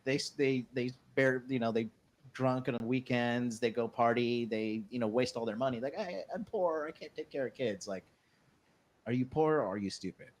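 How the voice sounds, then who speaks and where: A man's voice: medium pitch, moderate pace. Blunt podcast host guy, swears casually, laughs a lot. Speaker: dating coach hosting a panel.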